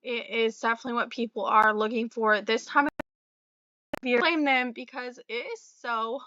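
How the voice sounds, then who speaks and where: A young woman vlogger, slightly raspy from a cold, chatting casually about her resale items with fillers and little laughs. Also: fairly high pitch, steady tone, moderate pace, bright, forceful tone, no room sound, highs cut off. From Florida. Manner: chatty, friendly, many fillers and small laughs. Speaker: reseller vlogger. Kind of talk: casual talk to camera about sales.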